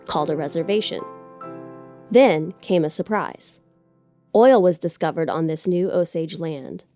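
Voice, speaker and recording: A woman reading a scripted story aloud as a narrator, measured and articulate. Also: lowish voice, dark tone. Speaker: guest story reader.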